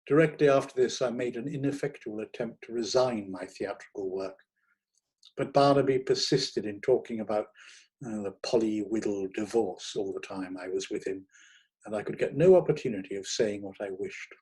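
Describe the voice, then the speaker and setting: A man narrating a literary short story in a theatrical, expressive manner. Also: fairly low pitch, normal speed, husky. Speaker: reader of short stories.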